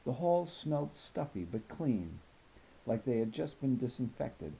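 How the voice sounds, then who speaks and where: A man's voice: medium pitch, very animated, measured pace, vocal fry, warm tone, rough recording. A man voicing an observant, bookish, slightly nervous fifth-grade girl narrating her story in a precise, thoughtful way. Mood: thoughtful, uneasy. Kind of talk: first-person children's novel narration.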